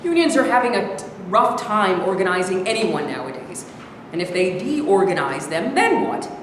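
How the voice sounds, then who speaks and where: A woman's voice: mid-range voice, big pitch swings, moderate pace, close to an everyday voice. A woman in a staged play reading, playing a needling alter ego who scolds and challenges in an emphatic, argumentative way. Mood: challenging, scornful. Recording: very echoey hall, faint noise.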